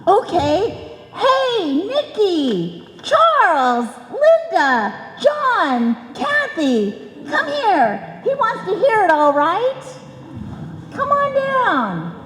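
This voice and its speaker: A woman playing an excitable little neighborhood kid in a live old-time radio comedy, with gushing, childlike talk. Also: very high-pitched, drawn-out words, a little hiss or hum, exaggerated voice. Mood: excited. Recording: echoey hall, live stage performance.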